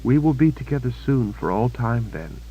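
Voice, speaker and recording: A man's voice: mid-range voice, animated, normal speed, slightly rough, muffled recording, pitched above the performer's own voice. A male narrator voicing a wounded, desperate young woman who pleads with breathless panic. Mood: tearful.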